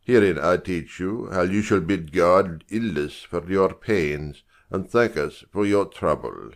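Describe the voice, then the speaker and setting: Slow, low-pitched, gracious king. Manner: measured, courtly. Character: gracious, stately male king visiting as guest. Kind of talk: dramatic Shakespearean audiobook reading.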